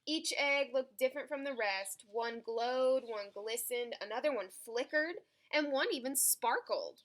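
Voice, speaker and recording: Bright, higher-pitched woman reading a storybook. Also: a little slow. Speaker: storybook reader. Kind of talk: expressive children's picture book read-aloud.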